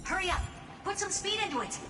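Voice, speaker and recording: A woman voicing a bossy, impatient genius in a video game, brisk and imperious. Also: extremely high pitch, expressive. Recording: distant, echoey, music underneath.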